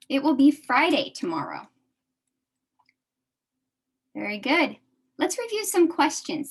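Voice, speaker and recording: A young American woman teaching a beginner English class, speaking slowly and repeating each phrase for her adult learners. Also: mid-range voice, slightly rough.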